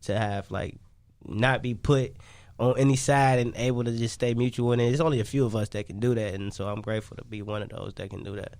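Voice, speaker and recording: A man's voice: medium pitch, fairly even intonation, brisk, a little mellow. A man with a Chicago accent chatting casually as an interviewed music artist, using slang and frequent tag phrases. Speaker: recording artist being interviewed.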